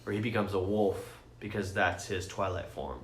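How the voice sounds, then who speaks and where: A man's voice: low-pitched, normal speed. A man co-hosting a podcast, explaining things in a casual, enthusiastic, geeky and sweary ramble. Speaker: podcast co-host. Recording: roomy echo with faint noise.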